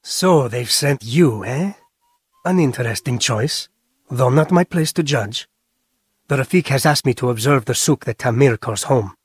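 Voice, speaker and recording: Husky, guarded informant. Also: male voice, medium pitch, lively intonation, a little slow, no room sound, clean studio sound. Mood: dry, measured. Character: guarded male assassin informant. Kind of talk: dramatic video game acting.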